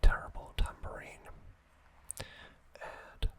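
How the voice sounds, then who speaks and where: A man narrating a scripted ASMR video in a breathy whisper, with deliberate phrasing in short pieces. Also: fairly bright tone. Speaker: host of an ASMR channel.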